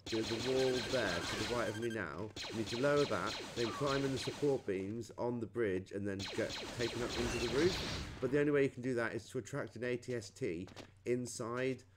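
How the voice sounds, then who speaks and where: A man's voice: mid-range voice, heavy background noise. A man with a British accent giving a casual, chatty game walkthrough, thinking aloud as he plays. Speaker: gamer recording a playthrough.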